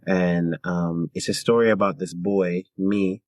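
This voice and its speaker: A man from Canada talking casually as an interview guest, a performer thinking aloud in short, halting phrases. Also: low-pitched, steady tone, a little mellow, no room sound, clean studio sound. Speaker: guest, singer-songwriter and theater performer.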